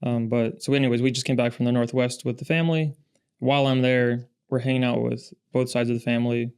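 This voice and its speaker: A man in his thirties who was born in Russia and raised in the Pacific Northwest, a podcast guest telling stories in a measured, steady way. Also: medium pitch, clean tone. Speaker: podcast guest, industry founder. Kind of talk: casual interview chat.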